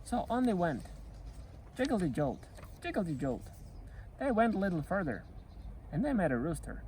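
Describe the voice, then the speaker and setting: A man reading a folk tale for children as a storyteller, in a sing-song, deliberate and expressive way. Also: high-pitched, slight background noise. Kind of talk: scripted children's storytelling narration.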